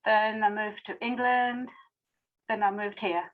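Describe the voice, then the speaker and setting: A woman's voice: mid-range voice, fairly even intonation, measured pace, narrow, tinny audio. A woman from Pakistan chatting with a guest over a video call, teasing and coy about details. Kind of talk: casual video-call chat.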